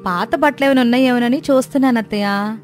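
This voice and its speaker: A woman's voice: a little high, fast talker, faint music behind, natural voice. A woman playing a dutiful, eager young village daughter-in-law in a cartoon moral story, polite and youthful. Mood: eager, obliging.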